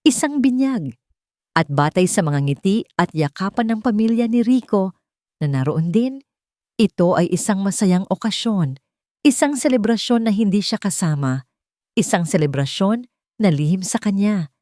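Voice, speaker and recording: A woman with a Filipino accent narrating a scripted love story in a measured, sentimental way. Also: medium pitch, animated, mellow, no room sound, clean studio sound. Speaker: narrator of audio love stories.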